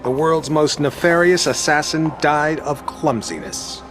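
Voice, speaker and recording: A man's voice: on the high side, unhurried, natural voice. A man playing a smug, ruthless conspiracy boss in a video game cutscene, articulate and sardonic. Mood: gloating. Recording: background music over dialogue.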